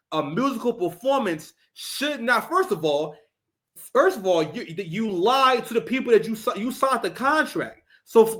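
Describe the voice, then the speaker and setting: High-voiced man in a profane rant. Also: fairly bright tone. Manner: ranting, profane, repetitive restarts. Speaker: commentary show host. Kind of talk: heated reaction commentary.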